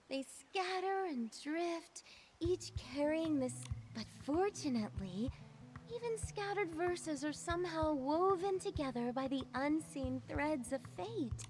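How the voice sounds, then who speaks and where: A woman playing a gentle, poetic wandering bard in a video game, speaking in a lyrical, unhurried storytelling manner. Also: high voice. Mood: musing, friendly. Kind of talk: scripted video game voice acting.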